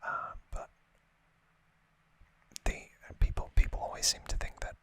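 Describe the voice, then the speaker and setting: Male ASMR host, fully whispered delivery with a crisp bright edge; informal, hesitant, modest and rambling. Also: a little slow. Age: late 20s or older. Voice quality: breathy, unvoiced whisper. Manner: rambling, hesitant, self-deprecating. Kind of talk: whispered ASMR unboxing chat.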